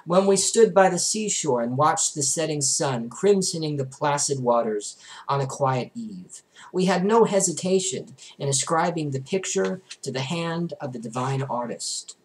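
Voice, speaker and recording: A young adult man reads a religious book aloud in a formal, deliberate, rhetorical way. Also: a little high, expressive, poor audio. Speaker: book reader. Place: small bedroom, indoors.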